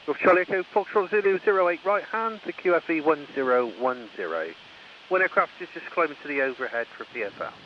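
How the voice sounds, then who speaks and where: A man's voice: on the high side, lively intonation, quick pace. A man working an airfield radio, giving clipped procedural messages through a radio channel. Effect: aircraft radio. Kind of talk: clipped aviation radio exchanges. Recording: dull lo-fi radio with cockpit noise. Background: aircraft noise.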